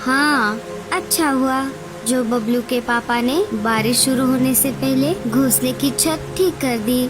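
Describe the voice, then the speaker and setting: A woman's voice: sits high, takes it slowly, over music. A woman voicing an agreeable, caring young mother bird in a Hindi cartoon. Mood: chatty. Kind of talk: Hindi animated moral-story voice acting.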